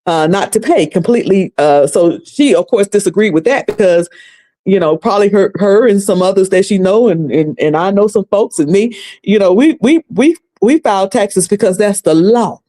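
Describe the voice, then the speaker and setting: Chatty Southern woman with a dark tone. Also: mid-range voice, expressive, moderate pace. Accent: Southern US, North Carolina. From North Carolina, US. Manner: chatty, folksy, addresses viewers as y'all and sugar. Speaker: commentary livestream host. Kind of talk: casual recap chat.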